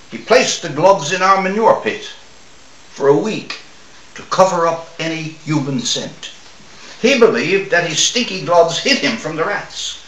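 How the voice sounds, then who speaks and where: An older man from Newfoundland reads a short story aloud in a measured, expressive way, with dramatic pauses. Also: fairly high pitch. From Newfoundland, Canada. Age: older, 60s or 70s. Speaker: author reading own short story. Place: small room at home or office, seated at a table. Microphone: camera a few feet away. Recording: roomy, distant microphone.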